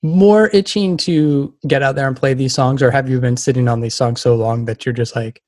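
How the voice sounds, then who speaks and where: A man's voice: medium pitch, fairly even intonation, normal speed, a little mellow, dry recording, studio quality. A man speaking as a podcast host in a casual, conversational interview style.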